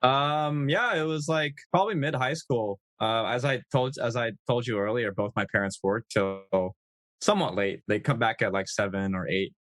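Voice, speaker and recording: A man, a guest on a podcast, chatting in a relaxed, easygoing way with many fillers. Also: mid-range voice, moderate pace, no room sound. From United States. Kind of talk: casual conversational interview.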